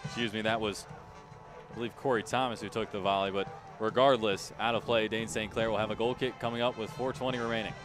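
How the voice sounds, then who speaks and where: A man's voice: fairly low pitch, steady tone, fast talker, a bit bright, faint music behind. A man doing live radio play-by-play of a soccer match, describing each touch in clipped, urgent, emphatic phrases. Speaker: play-by-play announcer.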